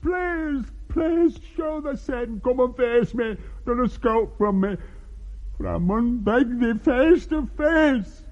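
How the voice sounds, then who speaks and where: An anguished male ghost with a Northern English dialect. The high, husky voice swoops widely and drags out its archaic pleas and threats very slowly, as radio-play acting. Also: animated, a little mellow, lo-fi sound, exaggerated voice. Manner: pleading, drawn-out, archaic speech.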